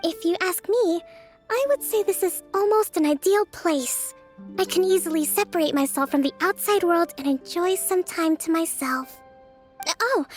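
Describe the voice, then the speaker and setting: A woman voicing a gentle, introverted young priestess and strategist in a video game, soft-spoken, formal and thoughtful. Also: very high-pitched. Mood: serene, content. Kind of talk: video game character voice acting.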